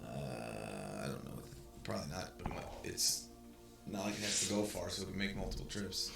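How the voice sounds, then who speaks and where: A man's voice: sits low, moderate pace, vocal fry. A man playing in a tabletop game, laying out his plans in a laid-back way with fillers. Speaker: player. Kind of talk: casual tabletop play. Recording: echoey room, faint music.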